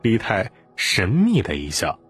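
A man narrates a dramatic audiobook tale in a fairly low voice. His intonation swings widely and the tone is a little husky, with faint music underneath. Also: huge pitch swings, unhurried, a bit bright. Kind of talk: scripted dramatic story narration.

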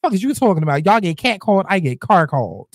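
A young woman, reacting to clips in loud, brash, joking casual commentary, swearing often. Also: fairly low pitch, sing-song, quick pace, no room sound, clean studio sound.

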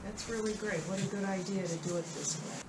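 A woman's voice: medium pitch, a little fast. A middle-aged woman chatting casually and encouragingly while helping in a home workshop. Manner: encouraging, soft-spoken. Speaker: helper, host's wife. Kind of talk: casual chat. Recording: distant, echoey, noisy workshop.

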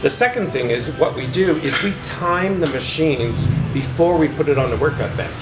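A man's voice: on the high side, lively intonation, normal speed, over loud music, poor audio. An older man guiding a tour of his workshop, explaining each step in a plain, matter-of-fact way. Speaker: shop manager explaining work. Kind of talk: explanatory tour guide talk. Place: indoor workshop and warehouse.

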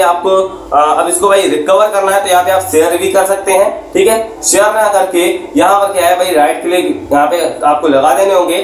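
A man giving an informal step-by-step tutorial, repeating himself and often adding check-in tags. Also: high voice. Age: 20s. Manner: repetitive, with frequent check-in tags. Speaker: tech tutorial YouTuber. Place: small curtained room indoors. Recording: echoey room with faint background noise.

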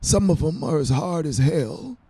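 A man voicing a folksy old grandfather in an audiobook, raspy and husky, with an unhurried manner. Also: a little high, animated, warm tone, voice pushed up, exaggerated voice. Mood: musing, gentle. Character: plainspoken folksy old grandfather, musing on wood carving.

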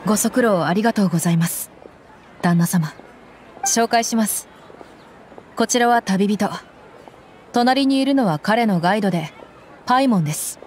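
A woman's voice: medium pitch, lively intonation, moderate pace, pauses often, darkish tone, a little hiss or hum, lightly acted. A woman playing a tough young female mercenary bodyguard in an anime game, frank and loyal, speaking plainly over music. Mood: polite. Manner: rough, frank speech turning polite. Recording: background music behind voice.

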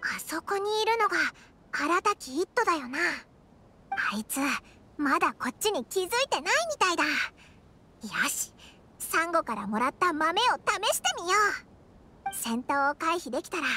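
A woman voicing a small, perky, childlike fairy companion in a Japanese anime game, squeaky and bluntly casual in a boyish way. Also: expressive, normal speed, sharp tone, a little hiss or hum, full character voice. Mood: alert, inquisitive. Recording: prominent game music behind.

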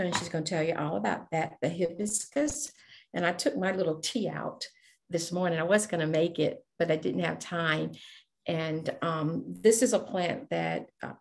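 A woman's voice: on the low side, creaky. A woman hosting an event, introducing the next speaker in an informal, conversational way. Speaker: event host.

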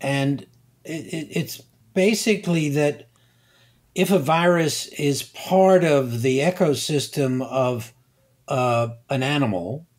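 An older man, a guest scientist, explaining slowly and deliberately, pausing and repeating words as he thinks. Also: on the high side. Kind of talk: unhurried expert explanation.

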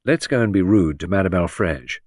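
A man voicing a calm, courteous gentleman in an audiobook, mild and even. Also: sits low, fairly quick, no echo, studio quality.

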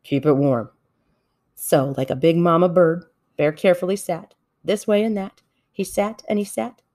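A woman reading a children's story aloud in an expressive narrating style. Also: fairly low pitch, normal speed. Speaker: storybook reader.

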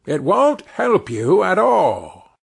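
A wicked old witch, cruel and mocking, with a high, drawn-out, gloating voice, as a man reads her. Her words creep along slowly and swing up and down, with a breathy edge. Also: huge pitch swings, exaggerated voice. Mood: impatient.

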